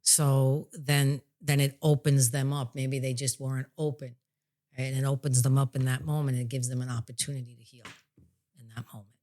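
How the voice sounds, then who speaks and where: A woman's voice: rumbling low, flat delivery, moderate pace, mellow. A plain-spoken woman talking casually as a psychic medium and podcast host, explaining her work in an informal way. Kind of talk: casual conversational explanation of spiritual healing work.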